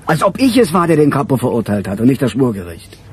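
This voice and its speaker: An actor playing a weary middle-aged police chief in a radio crime drama, with a dry, musing, sardonic manner. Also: male voice, mid-range voice, sing-song, fast talker, slight background noise, close to an everyday voice. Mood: irritated, explaining.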